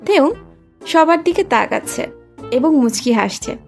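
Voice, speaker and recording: Woman storyteller, scripted story narration, crackly fry, music behind. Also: high-pitched, lively intonation, a little slow.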